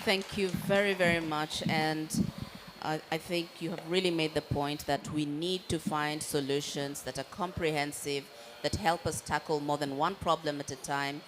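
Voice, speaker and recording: Woman, panel moderator, polite, deep voice, faint background hiss. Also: fairly even intonation, normal speed. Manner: polite, summarizing, introduces speakers.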